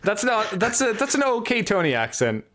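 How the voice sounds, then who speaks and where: A man's voice: high-pitched, big pitch swings, moderate pace, fairly bright tone. A man hosting a casual podcast chat, joking, teasing and swearing freely with his guest. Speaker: podcast host.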